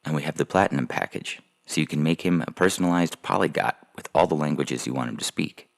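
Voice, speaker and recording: Guy playing a slick cold-hearted sales guy in an audio story, super deep n gravelly. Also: fast. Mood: smooth selling. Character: slick, callous salesman at an adoption agency. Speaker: voice actor reading a fiction podcast story. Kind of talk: dramatized short story reading. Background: music under the voice.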